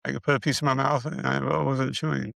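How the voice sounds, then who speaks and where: A middle-aged man speaks in a raspy, deeply gravelly voice, close and dry with no room sound. His speech halts, with stutters and repeated syllables as he runs short of breath. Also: flat delivery, quick pace, a bit bright, dry recording. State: short of breath, struggling to get words out. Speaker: video creator sharing his illness progress. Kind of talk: casual personal health update.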